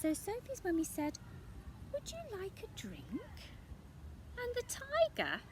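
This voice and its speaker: Animated woman reading to young children. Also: extremely high pitch, takes it slowly, background noise. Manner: questioning, chatty asides to young listeners. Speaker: storyteller reading a picture book. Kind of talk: expressive read-aloud for young children with asides.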